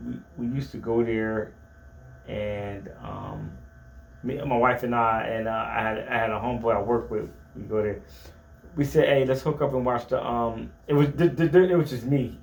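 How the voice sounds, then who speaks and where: A man co-hosting a sports talk show, stumbling and restarting as he argues his fan opinions. His voice sits in an ordinary middle pitch. The room gives it a noticeable echo. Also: gravelly, a little mellow. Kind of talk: casual sports chat.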